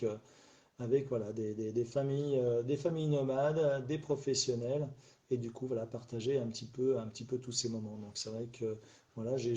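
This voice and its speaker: Middle-aged man, live-stream host, stuffy from a cold, warm, relaxed tone, halting delivery full of fillers. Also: medium pitch. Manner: rambling, hesitant, many fillers and restarts. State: slightly stuffy after a cold. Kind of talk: casual solo live chat. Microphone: phone or webcam on a live stream.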